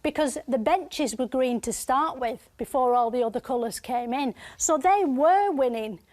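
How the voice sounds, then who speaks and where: An actress playing a dim, earnest female TV presenter who explains things in a muddled, deadpan way. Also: high-pitched, moderate pace, natural voice. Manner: deadpan, muddled, matter-of-fact. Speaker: comic presenter explaining election.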